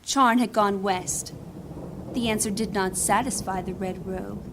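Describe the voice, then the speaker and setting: A woman playing a proud, embittered thief in a fantasy audio drama, speaking formally and gravely as if giving testimony. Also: medium pitch, steady tone, noisy background, lightly acted. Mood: bitter grief. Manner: formal, grave, deliberate storytelling.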